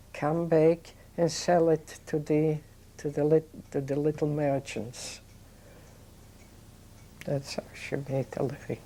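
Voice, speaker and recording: An older woman, recalling her childhood in an oral history interview, talking in a halting, reflective way with many restarts. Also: sits low, warm tone. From Poland. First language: Yiddish. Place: indoors, seated on a sofa.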